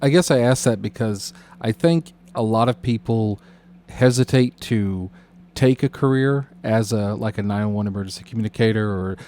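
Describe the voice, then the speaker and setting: Male podcast interviewer, slightly low voice, casual, halting and roundabout in delivery. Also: warm tone. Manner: halting, rambling, roundabout questions.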